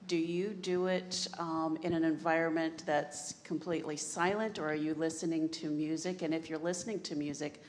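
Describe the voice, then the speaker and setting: Deep-voiced woman asking from the audience. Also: fairly even intonation, darkish tone, slight background noise. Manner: polite, deliberate questioning. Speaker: audience member asking a question.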